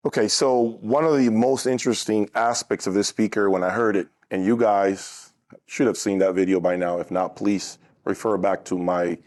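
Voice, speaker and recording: A man's voice: fairly low pitch, darkish tone. A man hosting a casual on-location interview, speaking emphatically as an interviewer and reviewer. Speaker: interviewer and audio review show host.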